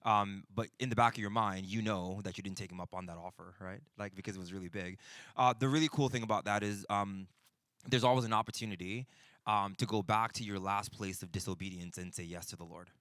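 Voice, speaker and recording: Bright-voiced man teaching like a pastor. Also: fairly low pitch, steady tone, a little fast, no room sound, studio quality. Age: 40s. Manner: conversational, often checks in with "right?". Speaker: pastor teaching a ministry breakout session. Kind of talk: informal sermon and teaching.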